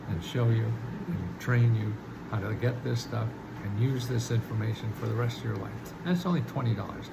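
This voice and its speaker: A man's voice: medium pitch, gravelly, heavy background noise. An older man hosting a casual money-advice talk, chatty and amused, chuckling between points. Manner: chatty, chuckles at own jokes, many restarts. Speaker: host giving money advice. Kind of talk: casual direct-to-camera talk.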